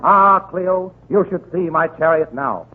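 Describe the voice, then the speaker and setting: A brash ancient Roman charmer with a high voice and a declamatory salesman's patter. It is 1930s radio comedy, heard through a tinny recording with some background noise. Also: lively intonation, a little slow. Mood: brash, wooing. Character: brash, wooing ancient Roman man turned eager pitchman.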